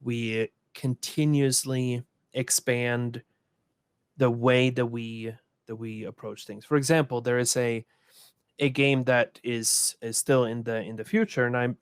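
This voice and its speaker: A man's voice: mid-range voice, little pitch movement, a little slow, smooth, dry recording. A man from Sweden moderating a conference panel, speaking thoughtfully with many restarts and repeated words.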